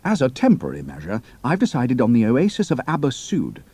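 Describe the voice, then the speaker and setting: An actor playing a cool, capable young pilot and spy, speaking in a clipped, matter-of-fact way. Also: male voice, medium pitch, huge pitch swings, brisk, mellow, husky, dry recording, full character voice. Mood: matter-of-fact recounting.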